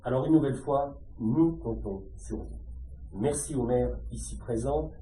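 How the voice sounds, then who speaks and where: A man's voice: mid-range voice, measured pace, dark tone. A French man giving a formal speech of appeal to residents as an elected official. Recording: distant, muffled, echoey room with faint noise.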